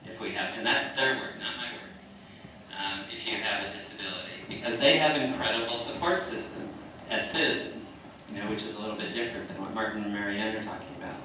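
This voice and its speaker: A man's voice: on the low side, fast. A man on a discussion panel speaking informally, choosing and qualifying his phrases with care. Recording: very echoey, distant mic, noisy room.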